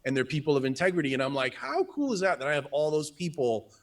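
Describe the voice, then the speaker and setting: A man's voice: on the high side, sing-song, fast. A man co-hosting a live stream, talking enthusiastically and rambling with restarts about his coaching work, on a video call mic. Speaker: business coach, co-host. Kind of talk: casual live stream chat.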